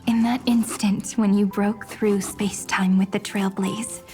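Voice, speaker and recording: An actress plays a gentle young woman from a fantasy game. She speaks slowly and softly in a poetic, wistful way at an average pitch. Light music sits underneath. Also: little pitch movement, natural voice. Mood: tender, wistful. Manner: soft, poetic, unhurried. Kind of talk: scripted video game dialogue.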